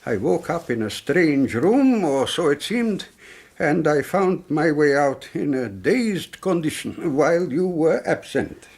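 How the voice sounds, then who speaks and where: Elderly russian count, husky, a bit high, very formal and apologetic, radio play. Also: male voice, very animated, unhurried, a little mellow, heavily acted. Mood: apologetic, courteous. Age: older. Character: courteous, apologetic old Russian count, an ailing patient. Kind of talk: period radio drama acting.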